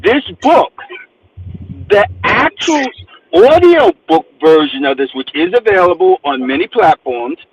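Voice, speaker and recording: A man's voice: high-pitched, big pitch swings, a little slow, a little hiss or hum, poor audio. A man from New Jersey, a guest author, telling stories with emphasis and acting out the things people say to him. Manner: emphatic storyteller, acts out quotes. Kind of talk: animated interview talk.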